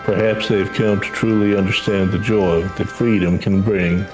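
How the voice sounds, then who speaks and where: Low-voiced, earnest gentle schoolteacher played by a man. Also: little pitch movement, over music, close to an everyday voice, rough recording. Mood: warm, reflective. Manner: measured, earnest, formal. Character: gentle, proud male schoolteacher in a fantasy game. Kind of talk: video game dialogue read aloud.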